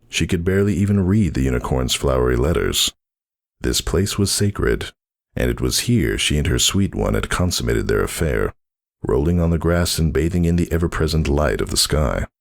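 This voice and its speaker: A man's voice: a bass voice, sing-song, moderate pace, vocal fry. A man narrating a fantasy story in a dramatic, scripted reading style.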